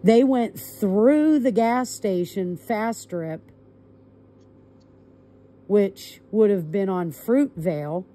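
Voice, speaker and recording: A woman caller on a recorded call, recounting events to law enforcement step by step in a deliberate, emphatic manner. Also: mid-range voice, lively intonation, warm tone.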